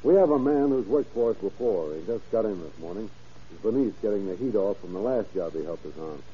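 An actor playing a tough, wisecracking police captain in 1930s radio crime drama, brusque, slangy and commanding. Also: male voice, fairly low pitch, lively intonation, brisk, husky. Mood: businesslike. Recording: muffled, lo-fi old radio recording.

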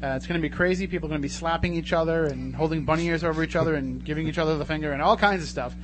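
Jokey chatty radio host guy, voice a bit high, hum and noise behind. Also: rapid-fire. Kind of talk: casual radio talk. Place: radio studio.

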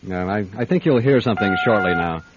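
Casting: man to play confident radio detective, deep-toned, measured, knowing, on muffled old radio sound. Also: lively intonation, noisy background, lightly acted. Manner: measured, wry, probing. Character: calm, probing male private detective, confident and unhurried. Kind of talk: radio drama acting with first-person narration. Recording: dull band-limited radio drama with music.

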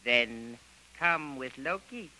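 A man's voice: medium pitch, lively intonation, slow pace, pushed and bright, heavily acted, poor audio. A man playing a deferential, evasive native guide in clipped broken English, in an old radio adventure. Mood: deferential, guarded. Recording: vintage radio recording.

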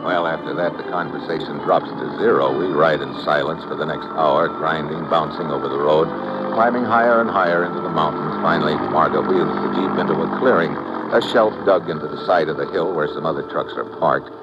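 An actor playing a wry, hard-boiled American government troubleshooter in an old radio drama, speaking in a clipped, laconic way. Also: male voice, very deep voice, brisk. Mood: tense narration. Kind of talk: old-time radio drama dialogue and first-person narration. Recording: very noisy, muffled old radio recording.

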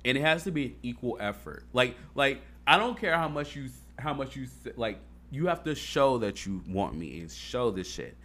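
A man's voice: medium pitch, sing-song, moderate pace, hardly any pauses, sharp tone. A man in casual chat, talking earnestly and rambling, with fillers and swearing.

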